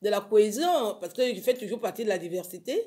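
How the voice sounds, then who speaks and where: The lively woman guest who takes over a local radio talk show with her exclaimed anecdotes. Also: medium pitch, fast. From Africa. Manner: animated storytelling, exclamatory. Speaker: guest, writer on violence against women. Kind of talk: casual radio interview chat.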